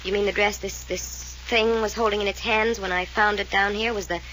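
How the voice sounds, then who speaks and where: A woman's voice: medium pitch, steady tone, brisk, a bit bright, a little hiss or hum, close to an everyday voice, rough recording. An actress playing a flippant, cynical young woman from a wealthy family in an old-time radio mystery serial, drawling her key words sardonically. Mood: startled, skeptical.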